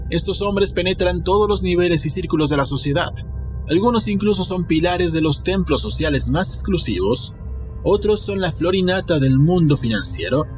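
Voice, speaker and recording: Man voicing a smug, sinister schemer, higher-pitched, over music. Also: natural voice. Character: Mr. Wilde, sinister, boastful, scheming eccentric man. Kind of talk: dramatic audiobook character reading. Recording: music behind the voice.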